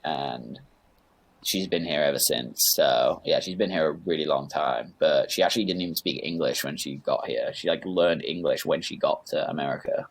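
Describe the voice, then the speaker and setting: A young British-raised man with a deep, low voice talks casually as a podcast guest. He rambles along loosely, padding his sentences with fillers and correcting himself as he goes. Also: moderate pace. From United Kingdom. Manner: rambling, laid-back, lots of fillers and self-corrections. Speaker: guest, music producer. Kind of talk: casual interview chat.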